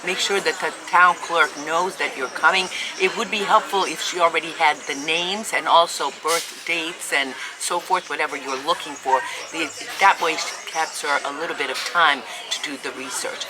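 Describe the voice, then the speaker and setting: A woman's voice: sits low, fairly even intonation, normal speed, few pauses. A woman hosting a travel vlog, upbeat and enthusiastic, giving tips to viewers. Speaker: vlog host. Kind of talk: travel vlog narration and chat. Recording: noisy outdoor recording.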